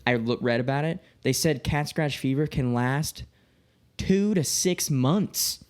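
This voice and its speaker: A man in his late twenties with an average-pitched voice talks loosely and informally as a podcast co-host. He tells stories in an animated way, voicing the dialogue he quotes and swearing freely. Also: unhurried, clear, resonant tone. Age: late 20s. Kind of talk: casual chat, telling personal anecdotes.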